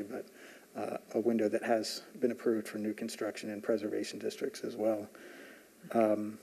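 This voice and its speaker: A man on a zoning staff presenting a report at a public hearing, measured, with frequent "uh" fillers. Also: lowish voice, dark tone. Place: large municipal meeting room.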